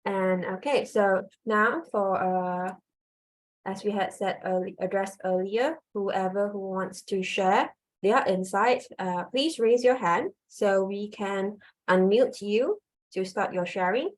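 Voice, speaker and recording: A woman's voice: mid-range voice, slow pace, poor audio. A woman emcee moderating an online conference over a video call, polite and hesitant with many fillers.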